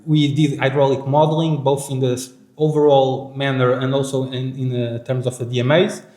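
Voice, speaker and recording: A man with a Portuguese accent gives a conference presentation at a middle pitch. He speaks haltingly, full of fillers and restarts. His voice echoes around a lecture hall. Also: flat delivery, warm tone.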